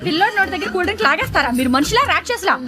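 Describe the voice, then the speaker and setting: A woman's voice: very high voice, animated, rapid-fire, over music, a crowd in the background. An actress playing a haughty, indignant woman train passenger in a film comedy. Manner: indignant, scolding.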